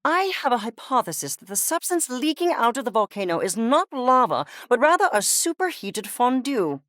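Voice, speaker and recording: A woman's voice: fairly high pitch, big pitch swings, moderate pace, fairly bright tone, no echo, studio quality. A woman voicing a haughty, eccentric furry creature scientist in a video game, speaking in a verbose, condescending and theatrical way with precise articulation. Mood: intense, fascinated. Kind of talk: video game character acting.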